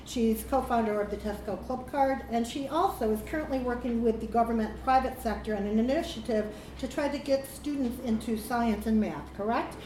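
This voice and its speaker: A woman's voice: fairly high pitch, normal speed, few pauses, a little mellow. A woman chairing a live panel, introducing speakers in an informal way with frequent fillers. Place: event hall with live audience. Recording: echoey hall, background noise.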